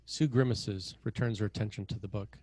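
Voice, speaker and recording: A man's voice: lowish voice, a little fast, darkish tone. A man reading scene headings and stage directions as the narrator of a screenplay table read.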